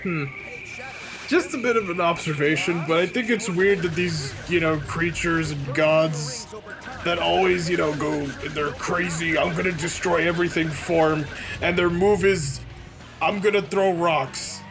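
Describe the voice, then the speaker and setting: Creaky, higher-voiced man chatting over gameplay. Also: a little slow, crowd noise. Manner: wry, musing. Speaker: let's play co-commentator. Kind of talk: casual chat over gameplay. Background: game music.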